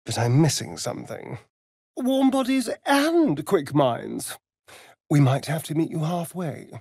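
A sly vampire rogue from a fantasy video game. He talks in an arch, mocking drawl, unhurried and theatrical, with pitch that swoops freely. The sound is dry and close, every vain aside savored. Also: big pitch swings, vocal fry, a little mellow, slightly rough, dry recording, full character voice. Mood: seductive, sly.

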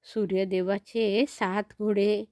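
A woman's voice: medium pitch, little pitch movement, takes it slowly, dark tone, dry recording, studio quality. A woman storyteller narrating for children, explaining things simply and speaking directly to young listeners.

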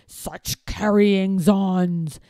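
A woman's voice: mid-range voice, steady tone, extremely slow, warm tone, dry recording. A woman voicing a scowling, pompous lord from a storybook who despises fun and speaks with disapproval. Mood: disgusted.

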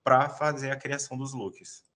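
Brazilian man, mid-pitched, discussing casually. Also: flat delivery, normal speed, bright, forceful tone. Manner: tentative phrasing, repeats words, frequent tag questions. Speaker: co-presenter. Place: indoor room on a video call. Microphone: video call microphone.

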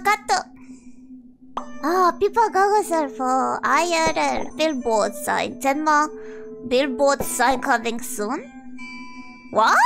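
High-pitched, sweary woman streamer. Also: creaky. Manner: sweary, exasperated, teasing. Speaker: livestreamer, VTuber. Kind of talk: casual livestream chat, reading donations.

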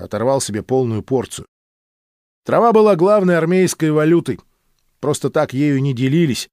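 A man's voice: mid-range voice, darkish tone, no echo, studio quality. A man reading a novel aloud as a scripted, dramatic audiobook narration.

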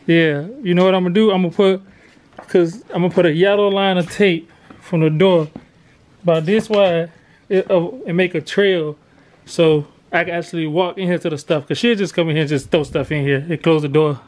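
A man's voice: high voice, fairly even intonation, fairly quick, darkish tone. An American man, around his thirties, giving a casual, chatty house tour as a family vlogger on a handheld camera. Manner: informal, chatty. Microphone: handheld vlog camera at arm's length. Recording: handheld camera with clear background noise.

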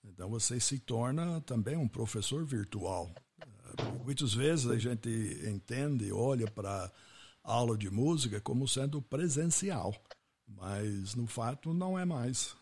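A man with a husky voice, hosting the podcast and sharing his own stories in a reflective way. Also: medium pitch, animated, a little slow, darkish tone, slight background noise. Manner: reflective, anecdotal. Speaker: podcast host. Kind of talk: casual interview talk.

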